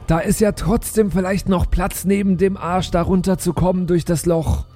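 Male tabletop player, high-pitched but mellow, improvised in-character chat. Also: steady tone, normal speed. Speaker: role-playing game player. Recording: music behind the voice. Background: music.

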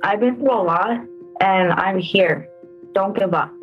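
A woman in her twenties, from El Salvador and raised in the United States, giving a personal account with halting, emotional speech and repeated words. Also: medium pitch, dull audio, faint music behind. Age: 20s. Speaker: interviewee. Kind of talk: personal testimony.

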